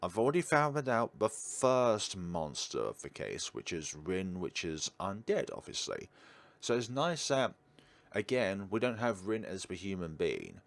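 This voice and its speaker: Deep-voiced man doing game commentary. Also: sing-song, a little slow, vocal fry. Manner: chatty asides between read-out narration. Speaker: gaming video host. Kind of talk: let's play commentary, reading visual novel text aloud.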